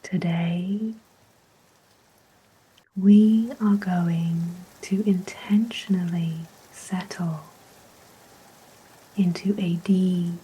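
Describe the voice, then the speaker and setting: A woman guiding a sleep hypnosis relaxation in a soft, whispery, gentle and soothing voice, with long unhurried pauses. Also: fairly low pitch, breathy edge, poor audio. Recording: steady rain sounds behind voice. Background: rain ambience.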